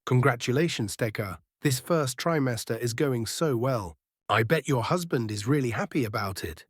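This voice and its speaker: An actor voicing a kindly, reassuring doctor with a husky voice in a comic dub. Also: medium pitch, expressive, normal speed.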